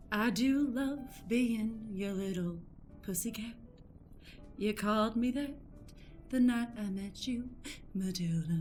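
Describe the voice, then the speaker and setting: A woman's voice: mid-range voice, darkish tone, lightly acted. A woman playing a purring, vengeful cat woman with melodramatic delivery in an improvised comic radio play. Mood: sultry. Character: purring, vengeful woman who was turned into a pet cat.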